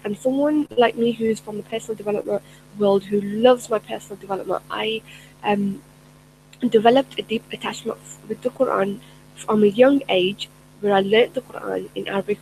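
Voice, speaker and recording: A woman's voice: mid-range voice, a little mellow, a little hiss or hum, low-quality recording. A middle-aged woman, a personal development coach, talking earnestly to camera in a chatty way with many fillers and restarts. Her first language is Urdu. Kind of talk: casual spoken talk to camera.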